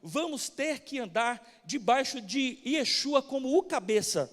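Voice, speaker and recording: A man with a Brazilian Portuguese accent interpreting a sermon, eager and quick with frequent restarts. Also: extremely high pitch, expressive, a bit bright. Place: church hall. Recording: long church hall reverb.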